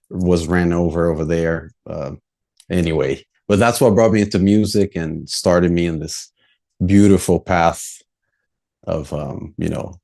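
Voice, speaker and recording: A young man from Brazil with a very low, mellow voice. He talks as a guest in a relaxed, reflective way, in casual interview chat. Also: gravelly. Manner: relaxed, reflective, laughs easily. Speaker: musician guest.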